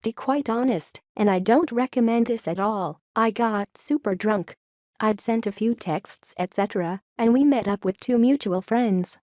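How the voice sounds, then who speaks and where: Higher-voiced woman reading forum posts. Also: mellow, no echo, studio quality.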